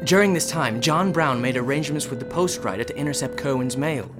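A grave, formal storyteller, voiced by a man narrates an eerie period radio drama. His mid-pitched voice moves deliberately over clear dramatic music. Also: lively intonation, natural voice.

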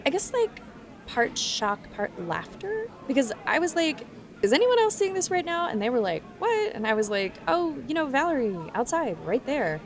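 A woman's voice: sits high, big pitch swings, normal speed. A woman playing a smug, gossipy teenage camp girl in an audio drama, talking in a casual, conspiratorial way. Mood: excited gossip. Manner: conspiratorial, casual, filler-laden teen talk. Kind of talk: naturalistic audio drama dialogue.

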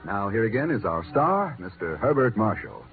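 A man's voice: lowish voice, sing-song, normal speed. A man announcing in a formal, scripted 1950s radio style. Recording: muffled old radio broadcast with music. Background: music.

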